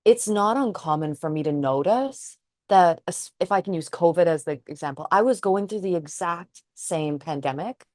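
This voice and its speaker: A woman's voice: on the low side, lively intonation, moderate pace, a little mellow, dry recording, studio quality. A woman who is a trauma psychotherapist, co-hosting a podcast and speaking in a thoughtful, earnest way. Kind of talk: casual chat.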